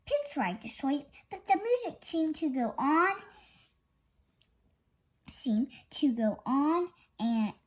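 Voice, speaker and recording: A child reading a picture book story aloud, halting at times and correcting slips along the way. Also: high-pitched, sing-song. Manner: halting young reader, stumbles and self-corrects. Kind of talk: read-aloud story narration.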